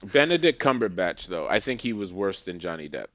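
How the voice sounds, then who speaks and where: A man's voice: sits low, very animated, brisk, a bit bright, no echo. A man from Massachusetts, a podcast guest, rambling with many restarts in casual chat.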